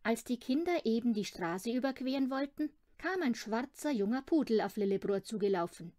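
A woman's voice: a little high, animated, normal speed, mellow, no echo, clean studio sound. A woman reading a children's audiobook, narrating the story expressively. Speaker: audiobook reader.

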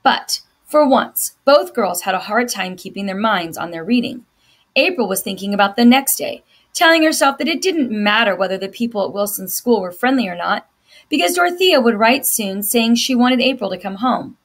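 A woman's voice: a little high, animated, moderate pace. A middle-aged woman reading a children's novel aloud as a teacher does for students. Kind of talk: scripted narration, children's novel read-aloud.

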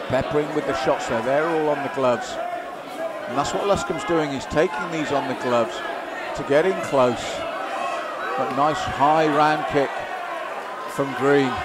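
A man giving live kickboxing play-by-play commentary in an excited, emphatic, admiring way. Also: fairly high pitch. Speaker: fight commentator. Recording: noisy live hall with loud crowd. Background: loud crowd and arena noise.

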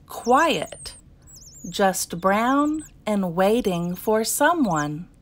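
A woman reading a children's picture book aloud as scripted narration, in measured, deliberate phrases with pauses. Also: medium pitch, fairly bright tone. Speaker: storybook reader.

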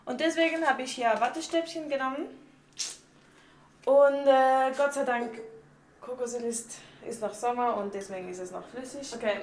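A young woman with a Swiss German accent, chatting casually and loosely through beauty tips, with lots of fillers. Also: on the high side. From Switzerland. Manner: chatty and unpolished, with many fillers like "und so". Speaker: vlogger. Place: home bathroom, indoors. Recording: echoey bathroom with faint noise.

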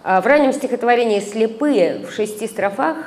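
A middle-aged woman reading an academic paper aloud at a conference, measured, with hesitant fillers and recited verse. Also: on the high side, animated, a little mellow. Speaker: conference speaker, translator. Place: conference hall, indoors. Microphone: stand microphone at a lectern. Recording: reverberant hall, faint background noise.

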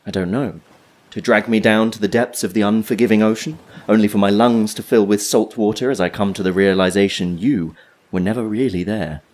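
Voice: mid-range voice, steady tone, brisk, darkish tone, close to an everyday voice. An actor playing a wary, sarcastic human stranded by the sea in an audio play, with a dry, questioning manner. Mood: dry, sceptical.

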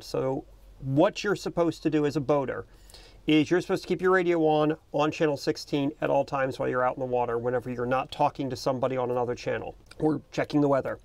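A man's voice: fairly high pitch, a little mellow. A middle-aged American man explaining casually and informally, as a vlog host recording outdoors on a small boat. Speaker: boating video host.

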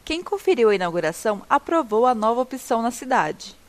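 A woman's voice: on the high side, big pitch swings, fairly quick, slight background noise. A Brazilian woman reading scripted news narration as a reporter.

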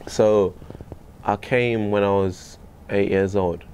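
Low gravelly young man. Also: fairly even intonation, a little mellow, a little hiss or hum. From Tanzania. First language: Swahili. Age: young adult. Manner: laid-back, slangy, drawn-out. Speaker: interviewer, fellow artist. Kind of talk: casual chat. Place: small carpeted room, seated in armchairs.

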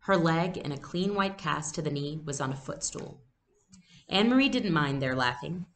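A young adult woman giving a read-aloud lesson, explaining things deliberately as a teacher. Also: low voice, animated. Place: small room at home. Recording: noisy home webcam recording.

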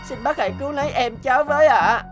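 A woman voicing a gentle, earnest young boy, a protective older brother, in a cartoon dub. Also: high-pitched, quick pace, over music, lightly acted. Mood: pleading, worried. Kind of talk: dubbed cartoon dialogue.

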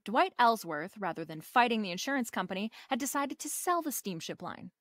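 A woman's voice: on the high side, very animated, brisk, fairly bright tone, no room sound, clean studio sound. An actress playing a wry, confident female private detective in a radio drama, with a breezy, slangy, wisecracking delivery.

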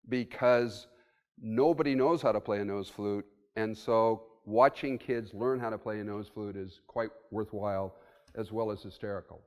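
A man in his sixties giving a conversational keynote at a podium in a conference room, thinking aloud with fillers. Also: mid-range voice. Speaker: keynote speaker. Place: hotel conference room with audience. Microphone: podium mic. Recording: long reverb of a conference hall.

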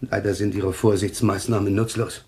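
A man's voice: on the low side, little pitch movement, moderate pace, a little mellow, natural voice. An actor playing a desperate, agitated man who calls himself a prophet, pleading insistently in a German thriller radio play. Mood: grave warning.

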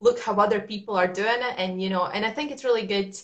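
A woman's voice: medium pitch, fairly even intonation, fast talker, lo-fi sound. Latvian lady on a webcam call, chatty, lots of ums, laughs a lot. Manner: chatty, laughs often, many fillers. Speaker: forex trader, interviewee. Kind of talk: casual video-call interview. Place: small indoor room at night. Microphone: video call webcam.

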